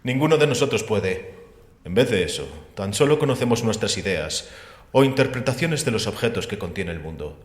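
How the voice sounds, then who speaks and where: Guy playing a low voiced calm skeptic scholar in an audio drama, measured and a bit mocking, big reverby hall. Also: lightly acted. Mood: calm, didactic. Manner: measured, explanatory, rhetorical questioning. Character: calm, sceptical, erudite man called Revelation, gently mocking. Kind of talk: Spanish audio drama acting. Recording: echoey hall with long reverb.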